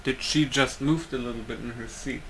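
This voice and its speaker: A man's voice: mid-range voice, steady tone, moderate pace, a bit bright, slight background noise, rough recording. A man giving casual, offhand commentary on a game playthrough, muttering to himself as he plays. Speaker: gamer.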